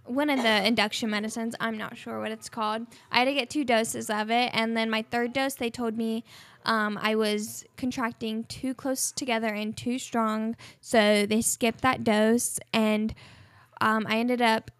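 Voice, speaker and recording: A woman's voice: a little high, level tone, a little slow, fairly bright tone, no room sound. A woman in her early twenties co-hosting a casual podcast, telling a story candidly with bits of laughter. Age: 20s. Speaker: podcast co-host. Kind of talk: casual chat.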